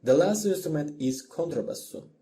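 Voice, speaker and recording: A man giving a scripted lecture as a voice-over, in a measured, explanatory manner. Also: fairly high pitch, animated, warm tone. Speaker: music lecturer.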